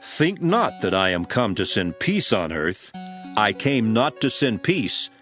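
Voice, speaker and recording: Solemn low-voiced master, sweeping intonation. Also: male voice, big pitch swings, fairly bright tone. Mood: earnest, exhorting. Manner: measured, declamatory, emphatic preaching delivery. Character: solemn male religious master commissioning his disciples, authoritative and earnest. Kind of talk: dramatized King James scripture reading. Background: music behind the voice.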